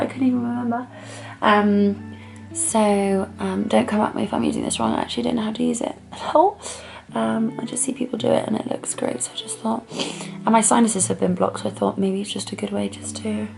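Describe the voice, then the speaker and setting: A woman in her twenties, a startup founder, chatting excitedly in a casual vlog with lots of filler words and a croaky voice. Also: medium pitch. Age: 20s. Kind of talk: casual vlog chat to camera.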